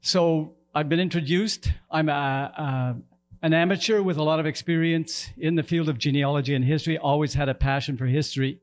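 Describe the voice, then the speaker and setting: An older man from the Lower Ottawa Valley, a retired teacher and principal, giving a relaxed, chatty history lecture in a loud voice in a lecture room. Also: a little fast, slight background noise. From Lower Ottawa Valley, Canada.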